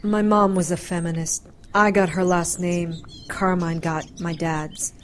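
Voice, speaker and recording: A woman's voice: fairly low pitch, little pitch movement, measured pace, faint music behind, close to an everyday voice. A woman playing a determined doctor hunting for her lost brother in an audio drama, intense and emotional. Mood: urgent, sorrowful.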